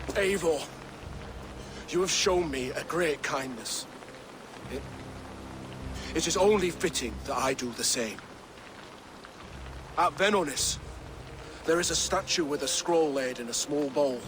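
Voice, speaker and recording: Man acting a slow-spoken, proud doomed warrior. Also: on the high side, noisy background, natural voice. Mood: solemn, urgent. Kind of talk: dramatic video game acting. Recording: dramatic music behind the voice.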